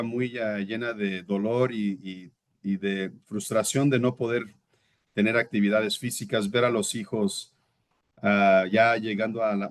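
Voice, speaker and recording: A Brazilian-born man in his thirties, a native Portuguese speaker, giving a reflective, earnest personal testimony as an interview guest and sometimes searching for words. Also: fairly low pitch.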